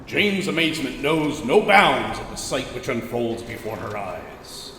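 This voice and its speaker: A man's voice: medium pitch, unhurried, sharp tone, slight background noise. A man narrating a pulp adventure audio drama in an emphatic, theatrical style. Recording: reverberant voice over music.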